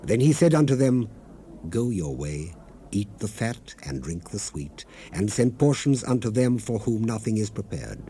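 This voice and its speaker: A low, mellow male voice on a faintly hissy old cassette. He reads scripture as solemn drama, grave and declamatory, with measured phrasing that rises and falls widely. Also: big pitch swings. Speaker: narrator of a dramatized Bible recording. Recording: noisy 1970s cassette rip. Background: ambient sound effects, tape noise.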